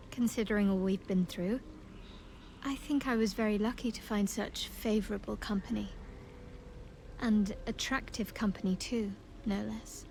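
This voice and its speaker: A woman's voice: a little high, slight background noise, lightly acted. A woman playing a guarded, devout young cleric in a fantasy video game, dry and teasing. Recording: clear music behind.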